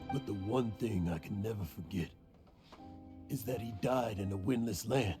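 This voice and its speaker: An actor playing a weary, guilt-ridden old drunk adventurer in a video game, brooding and melodramatic with halting delivery. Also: male voice, on the low side, lightly acted. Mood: sorrowful, confessional. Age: older. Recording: game dialogue under music.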